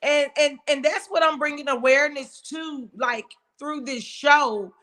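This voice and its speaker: A woman's voice: sits high, steady tone, a little slow, a bit bright. A woman hosting a talk show, speaking emphatically and openly with a big voice. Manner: emphatic, outspoken. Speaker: host. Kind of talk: casual chat.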